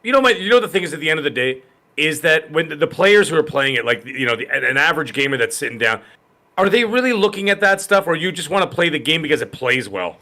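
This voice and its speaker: A man's voice: on the high side, very animated, fast talker, bright, forceful tone. A man co-hosting a casual gaming podcast, arguing his point emphatically with repeated phrases. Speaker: podcast co-host.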